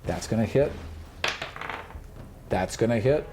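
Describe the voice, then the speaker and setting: A man's voice: fairly low pitch, expressive, slow. A man working as game master, improvising narration of a tabletop fantasy game and acting out sound effects as he goes. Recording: noisy room recording.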